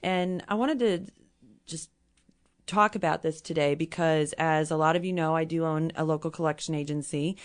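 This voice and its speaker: Midwestern woman guest, hoarse, slightly low voice, earnest explainer. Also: steady tone, moderate pace. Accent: Midwestern American. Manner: earnest, explains with everyday examples. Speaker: company president, guest. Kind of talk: casual interview talk.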